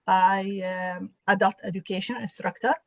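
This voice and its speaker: A woman from Iraq hosts a roundtable over a video call, politely introducing each guest. Her speech is halting and full of fillers. The sound is dry, with no room echo, and her pitch sits in the middle. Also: fairly even intonation, creaky. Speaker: host and adult education instructor.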